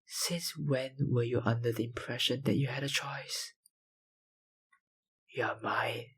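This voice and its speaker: An actor playing a possessive, powerful vampire kidnapper in an ASMR roleplay, breathy and husky, with a dominant, condescending manner. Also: male voice, medium pitch, darkish tone, full character voice. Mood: menacing, possessive. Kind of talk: ASMR roleplay, dramatic monologue.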